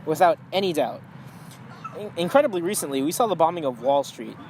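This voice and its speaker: A man's voice: fairly high pitch, expressive, brisk, a little mellow. A man playing an opinionated, alarmist author interviewed on a 1920s radio show. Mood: indignant. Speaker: talk show guest, author. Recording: noisy amateur recording.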